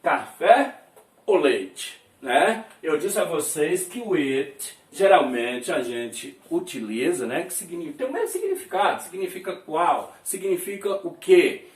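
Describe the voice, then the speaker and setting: A man's voice: on the high side, big pitch swings, a little slow. A male teacher from Brazil giving a classroom lesson, repeating each phrase and checking in with his students often. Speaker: English teacher. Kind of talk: classroom lesson explaining words. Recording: echoey room, mic at a distance.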